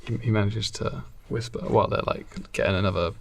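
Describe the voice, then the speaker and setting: Creaky-voiced young man, noisy recording. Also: lowish voice, fairly even intonation. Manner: halting, giggly. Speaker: podcast co-host. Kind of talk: casual comic improvised storytelling.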